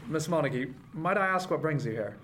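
A man's voice: fairly high pitch, expressive, a little fast, a bit bright. A man playing a guarded, ambitious 18th-century first mate in a radio drama, with a formal, restrained manner. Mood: guarded, curt. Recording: clear background noise, ship ambience.

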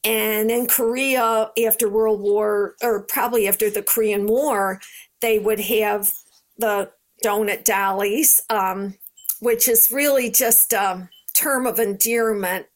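An American woman in her seventies from New York state, recalling her past in an interview in an unhurried, conversational way. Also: mid-range voice, fairly even intonation. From Rochester, New York, United States. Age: 70s. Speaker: interviewee, Red Cross veteran. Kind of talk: personal recollection in an interview.

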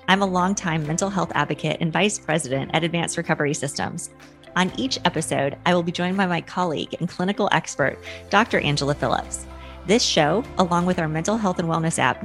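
A woman hosting a podcast, reading a scripted introduction in a polished, measured way. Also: lowish voice. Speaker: podcast host.

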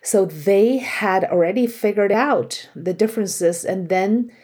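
Host: woman interviewer, slow unhurried pace, attentive, brief prompts. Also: mid-range voice, a little mellow, husky. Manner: attentive, brief encouraging replies. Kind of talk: interview podcast.

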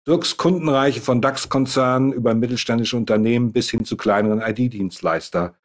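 Ein Mann mit mittlerer Stimmlage moderiert eine Online-Expertenrunde. Er stellt die Gäste förmlich und in ruhigem Ton vor. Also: male voice, medium pitch. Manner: introduces guests in a measured, formal tone. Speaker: host. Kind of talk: moderating a panel Q&A.

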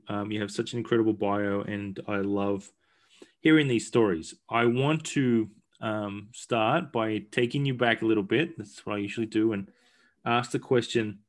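A man hosting an interview podcast, eagerly asking questions and often repeating words as he starts them. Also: on the low side. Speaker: podcast host.

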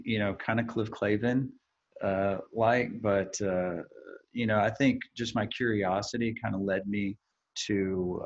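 An American man from Texas speaking casually as an interview guest, on a slightly dull recording. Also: on the low side. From Texas, United States. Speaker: podcast guest, marketing professional.

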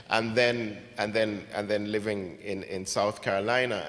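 A poet from Ghana, a man, reading his poems in a measured, deliberate way, with hesitant spoken asides between them. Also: fairly low pitch, a bit bright. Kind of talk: poetry reading with spoken introductions. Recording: long hall reverb.

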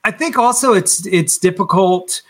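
Male, middle-aged, higher register. Blunt, emphatic musician in relaxed interview conversation. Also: normal speed. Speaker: musician, podcast guest. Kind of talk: casual interview chat.

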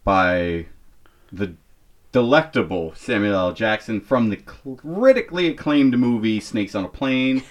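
A man's voice: fairly low pitch, unhurried. A man co-hosting a casual comedy podcast, steering the talk and laughing often. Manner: animated, laughs often, leads the games. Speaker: podcast co-host.

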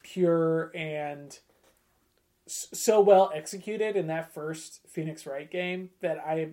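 A man talking casually as a panelist on a gaming podcast, thoughtful and halting as he phrases opinions. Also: fairly high pitch, darkish tone. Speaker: podcast panelist discussing video games. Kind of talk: casual chat.

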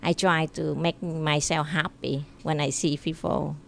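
A woman from Vietnam, a native Vietnamese speaker, answers interview questions slowly in simple, hesitant English. Her slightly low voice has a gravelly edge, and faint noise hums behind her. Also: little pitch movement. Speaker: interview guest.

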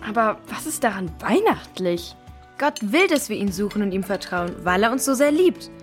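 A woman's voice: mid-range voice, very animated, normal speed, faint music behind, lightly acted. A woman playing a caring, inquisitive girl in an adventure crew for a children's audio drama. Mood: questioning, earnest.

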